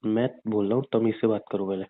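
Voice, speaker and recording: A man's voice: fairly low pitch, steady tone, fast talker. A young man bickering and teasing casually with his girlfriend over a phone call. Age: young adult. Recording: narrow tinny phone-line sound.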